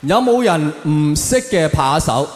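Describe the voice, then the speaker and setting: A man's voice: fairly high pitch, animated, measured pace, a little hiss or hum. A man with a Hong Kong Cantonese accent performing stand-up, giving mock-serious opinions and putting rhetorical questions straight to his audience. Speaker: stand-up comedian. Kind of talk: stand-up comedy routine to a live audience. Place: live venue, on stage before an audience. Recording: echoey hall with long reverb.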